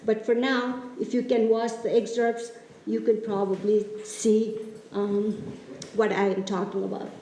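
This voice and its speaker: A woman in her fifties from Nepal with a Nepali accent, giving a casual talk to a club about her community TV show. She speaks in a friendly, halting way, with many fillers and restarts. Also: medium pitch, flat delivery, dark tone, reverberant, rough recording. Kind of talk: casual presentation.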